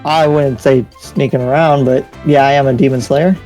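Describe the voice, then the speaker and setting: A man's voice: medium pitch, steady tone, moderate pace, warm tone, close to an everyday voice. A man playing an easygoing, curious demon slayer in an improvised anime game roleplay, casual and hesitant. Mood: casual, apologetic. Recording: music behind.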